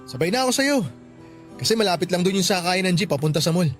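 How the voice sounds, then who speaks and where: A man's voice: high voice, animated, quick pace. A man playing a friendly, easygoing young coworker in a Tagalog radio drama, with a Filipino accent. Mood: friendly, teasing. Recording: music bed behind the voice.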